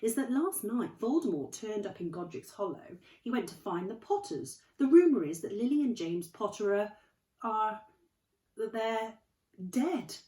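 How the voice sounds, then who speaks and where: Stern, clipped older witch teacher, put on by a woman reading aloud, lifted high and lively, roomy recording. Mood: distressed. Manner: brisk, clipped and impatient. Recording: echoey room, distant mic.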